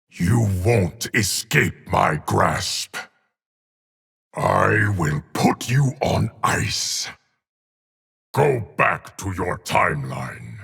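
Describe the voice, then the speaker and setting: Ancient immortal time keeper for a video game, super raspy, solemn and threatening, swings up and down a lot. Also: male voice, deep voice, bright, forceful tone, heavily acted. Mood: menacing, commanding. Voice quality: gravelly, husky. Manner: solemn, portentous, deliberate. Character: ancient, unique immortal guardian of time, grave and menacing. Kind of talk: video game character voice lines.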